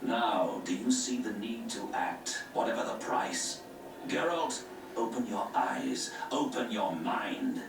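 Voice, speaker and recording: A solemn grand master preaching the end of the world, lofty and grand, game audio playing far off in a roomy room with music under it. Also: male voice, lowish voice, lively intonation, slow pace. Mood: persuasive. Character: grave male grand master of an order, preaching doom.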